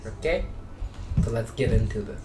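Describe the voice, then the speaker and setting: A man doing casual gameplay commentary, speaking haltingly and often repeating himself or restarting his sentences. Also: medium pitch, animated, noisy background. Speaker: video game streamer. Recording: roomy echo with music behind.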